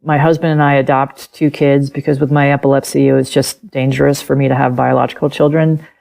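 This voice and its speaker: A middle-aged woman whose first language is German speaks in a low voice with a gravelly edge. She is an interview guest telling her personal story candidly and animatedly, acting out old conversations. Her voice stays deep and textured throughout. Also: brisk. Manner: candid, animated, acts out past conversations and laughs often. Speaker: author and podcast guest.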